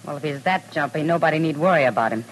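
A woman's voice: sits low, fast, lightly acted. An American actress playing a wry, plainspoken frontier woman in an old radio western, casual and folksy. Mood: concerned. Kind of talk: 1950s radio western acting. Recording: noisy old radio recording.

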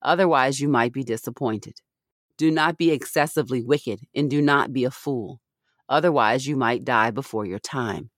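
A woman reading scripture aloud in a deliberate, solemn, measured way as a podcast reader. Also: rumbling low, no room sound, clean studio sound.

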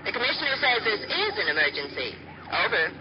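An actress playing a dry, brisk female secretary speaking through a radio filter, in 1940s radio acting. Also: medium pitch, big pitch swings, a crowd in the background. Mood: dry, official. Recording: dull, noisy radio transmission.

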